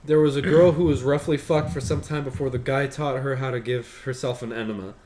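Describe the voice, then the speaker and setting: A man's voice: medium pitch, fairly even intonation, brisk, over a noisy crowd. A man reading a story aloud as a show host, breaking off for casual, sweary commentary.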